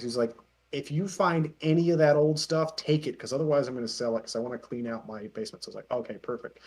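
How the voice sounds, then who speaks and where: A man telling casual anecdotes as an interview guest on an online voice call, in a halting, stop-and-start way. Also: medium pitch. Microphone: voice call on Discord.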